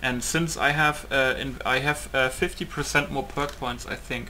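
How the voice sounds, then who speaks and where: Rambling male gamer, higher-pitched than middle. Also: fairly even intonation, moderate pace, sharp tone. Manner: rambling, thinking aloud. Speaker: gaming video maker. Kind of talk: casual game playtest commentary. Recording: game noise behind the voice. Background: video game ambience.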